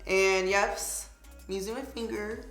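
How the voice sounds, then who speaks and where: A chatty young woman with a slightly raspy voice, talking through a makeup tutorial at home and filling her sentences with "like.". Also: mid-range voice, fairly even intonation, measured pace, fairly bright tone. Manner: chatty, lots of "like" fillers, upbeat product asides. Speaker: beauty vlogger. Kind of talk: casual makeup tutorial chat. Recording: echoey room with faint music.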